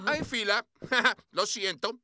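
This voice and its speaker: A man's voice: sits high, expressive, takes it slowly, bright, forceful tone, no echo, clean studio sound, full character voice. A man voicing a jovial, polite, exuberant father beaver in a children's cartoon, with Latin American Spanish speech.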